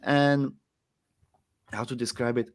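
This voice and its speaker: A man's voice: medium pitch, fairly even intonation, takes it slowly. Young Czech-accented man, casual guest, loose rambling delivery, self-mocking. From Czech Republic. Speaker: guest wrestler. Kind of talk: casual podcast chat.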